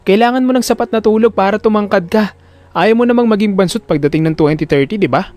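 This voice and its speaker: A man voicing an earnest, excitable schoolboy son in an audio drama, speaking emphatically. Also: high voice, fast talker, dry recording, close to an everyday voice.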